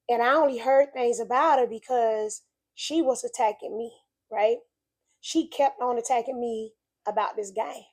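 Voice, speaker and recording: A woman's voice: a little high, a little mellow. A woman, sharing an earnest, preachy faith message in casual talk. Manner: earnest, preachy, conversational with emphatic repetition. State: slightly raspy voice from illness. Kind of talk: casual spoken reflection.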